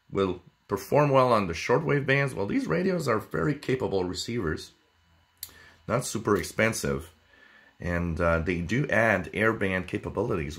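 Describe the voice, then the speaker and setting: A man presenting radio gear in a casual, unscripted way, with halting, hesitant phrasing. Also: fairly low pitch, a bit bright, slightly rough. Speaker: amateur radio video presenter. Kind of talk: casual product talk, unscripted.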